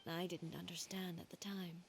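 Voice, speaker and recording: A woman voicing a regal female ruler-goddess in a video game, speaking in a measured, formal, reflective way. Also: fairly low pitch, flat delivery, gravelly, a little hiss or hum.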